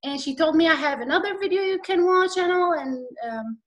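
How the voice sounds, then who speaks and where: A woman's voice: very high voice, a little fast, dull audio. A woman with an Iraqi accent telling her personal story as a guest on a video call, hesitant and slightly nervous, with many fillers. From Iraq.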